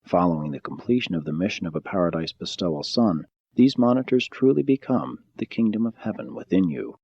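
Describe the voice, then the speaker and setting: A man reading a spiritual audiobook in a measured, solemn and formal manner. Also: low-pitched, lively intonation, slightly rough, highs cut off. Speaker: audiobook narrator. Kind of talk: scripted audiobook narration of a spiritual text.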